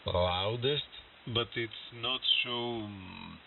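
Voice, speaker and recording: A man with a Greek accent chatting casually as an amateur radio operator, halting and searching for words, over a shortwave radio link. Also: lowish voice, vocal fry, recorded from a distance. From Greece. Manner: halting, searching for words in non-native English. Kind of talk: casual ham radio conversation. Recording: hissy, muffled shortwave radio transmission.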